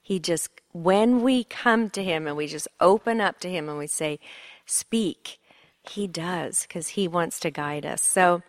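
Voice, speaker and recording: A woman's voice: lowish voice, measured pace, no echo, studio quality. A middle-aged woman from Sweden, a pastor's wife giving a heartfelt, encouraging talk and prayer at a women's conference. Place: church conference hall.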